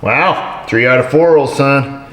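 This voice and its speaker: A man's voice: mid-range voice, takes it slowly. A middle-aged man, an auto mechanic, explaining a repair in a casual how-to way with wry, dry, self-mocking asides while he works. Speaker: auto mechanic, shop owner. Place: indoor auto repair shop garage. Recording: echoey garage with shop noise.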